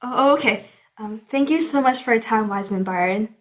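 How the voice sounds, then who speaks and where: High-voiced, earnest young girl played by a woman. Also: normal speed, natural voice. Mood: sad, subdued. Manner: pleading, sincere. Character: earnest, caring young girl on a desperate quest. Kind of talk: fantasy audio drama acting. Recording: music behind the voice.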